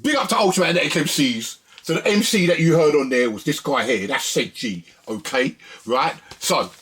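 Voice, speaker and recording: A man's voice: on the high side, lively intonation, normal speed, a bit bright. A man presenting records in a casual, conversational music commentary, often repeating phrases for emphasis.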